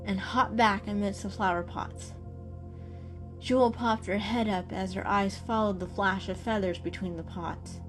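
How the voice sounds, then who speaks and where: A woman's voice: medium pitch, fairly even intonation, measured pace, faint music behind. A woman narrator giving a scripted, dramatic reading of a storybook.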